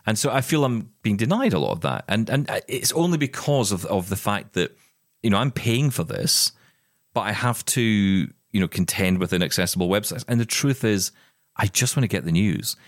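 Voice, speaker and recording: A man's voice: lowish voice, lively intonation, normal speed, dry recording, clean studio sound. A middle-aged man co-hosting a podcast, talking in an impassioned, emphatic way with plenty of restarts. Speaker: podcast co-host. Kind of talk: casual chat.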